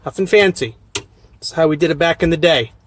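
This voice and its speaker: A man's voice: fairly high pitch, a little fast. A man, a trucker hosting a casual, plain-spoken step-by-step demonstration. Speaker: trucker and vlog host. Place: inside a truck cab. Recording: noisy truck cab with clicks.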